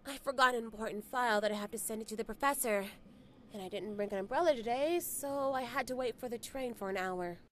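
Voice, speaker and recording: A woman's voice: a little high, fast, fairly bright tone, a little hiss or hum, natural voice. A woman playing a sweet, affectionate young student in a comic dub. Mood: weary, apologetic.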